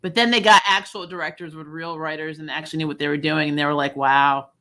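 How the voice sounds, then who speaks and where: A woman's voice: low voice, steady tone, fast, bright, forceful tone. A woman hosting a casual film chat, talking in a blunt, sarcastic, slangy way. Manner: blunt, sarcastic, slangy, laughs through stories. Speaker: channel host reviewing films. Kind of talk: casual opinionated film discussion.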